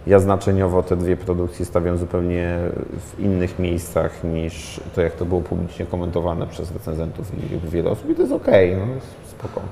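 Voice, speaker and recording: Low mellow guy in his 30s, film director talking it through, lots of ums, faint room hiss. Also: very animated, normal speed, creaky, few pauses. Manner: thoughtful, hesitant, many fillers and restarts. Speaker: film director, interview guest. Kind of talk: reflective interview talk. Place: studio set in a large glass-walled building.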